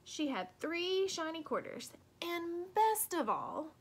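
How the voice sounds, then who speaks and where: A woman reading a children's storybook aloud expressively, with deliberate dramatic emphasis. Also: extremely high pitch, big pitch swings. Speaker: storybook reader.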